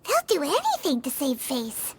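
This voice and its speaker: A woman voicing a small, chatty, teasing female companion in a video game, squeaky and excitable. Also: normal speed, full character voice. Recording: game cutscene with background music.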